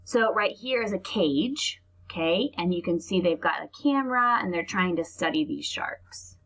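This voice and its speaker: A woman's voice: lowish voice, animated, normal speed, a bit bright, low-quality recording. A woman reading aloud to young children like a teacher, explaining things and adding asides as she goes.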